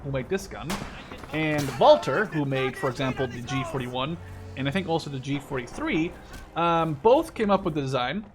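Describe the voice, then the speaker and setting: A man's voice: on the high side, expressive, moderate pace, over music. A man in his twenties from the Netherlands giving casual, rambling gameplay commentary, often correcting himself and swearing casually. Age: 20s. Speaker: gaming video host.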